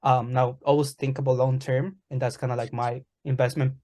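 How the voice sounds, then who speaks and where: A man co-hosting a casual podcast, speaking in a relaxed way with plenty of "kinda" and "like". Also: mid-range voice, a little fast. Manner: relaxed, many fillers like "kinda, like". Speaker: podcast co-host.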